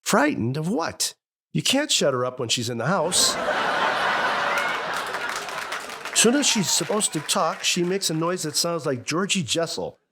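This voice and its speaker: A man's voice: fairly high pitch, big pitch swings, normal speed, a crowd in the background, noisy background. An actor playing a middle-aged, sentimental and easily exasperated husband and father in an old radio family comedy. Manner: blustering, hesitant when sincere.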